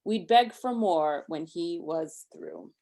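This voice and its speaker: A woman with a lowish voice reads a children's story aloud. Her delivery is expressive on the rhymes and turns casual and simple when she explains things to a young listener. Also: slow, gravelly. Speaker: storybook reader.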